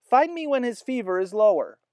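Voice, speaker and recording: A reader voicing a brisk adult nurse in a read-aloud storybook, giving clear instructions. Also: medium pitch, expressive, darkish tone, dry recording, studio quality, voice pushed up, lightly acted. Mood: instructing. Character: calm, commanding adult nurse, woman.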